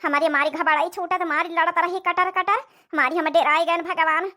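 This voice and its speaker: A woman's voice: very high-pitched, fairly even intonation, moderate pace, bright, forceful tone. A woman voicing a fretful, talkative cartoon village mother-in-law with a rural Awadhi accent and dramatic, repetitive chatter. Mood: alarmed, complaining. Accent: Awadhi, rural north India. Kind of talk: cartoon comedy dialogue.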